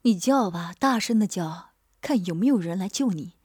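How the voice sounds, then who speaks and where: A woman's voice: medium pitch, lively intonation, normal speed, a little mellow, husky, dry recording, clean studio sound, voice pushed down. A woman voicing a grown man who gloats and taunts in a mock villain role, in a storybook reading. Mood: leering. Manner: gloating, menacing teasing. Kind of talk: audiobook character voice.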